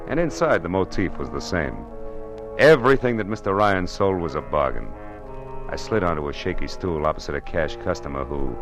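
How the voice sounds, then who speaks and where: A wry, laconic hardboiled private eye, as a man acts him out in a 1950s radio play. The voice is very low and deep, and a faint music bed runs under it. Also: natural voice. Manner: dry, wisecracking, laconic. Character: hardboiled male private detective, wry and cool. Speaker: narrator and lead.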